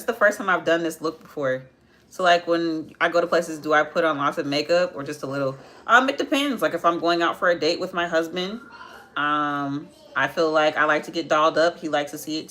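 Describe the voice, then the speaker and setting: A woman's voice: deep voice, a little fast, pushed and bright. A woman in her twenties hosting a live makeup tutorial, chatting casually with viewers and answering their comments as she works. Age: 20s. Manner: chatty, answers viewer comments while working, repeats phrases. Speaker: makeup livestream host.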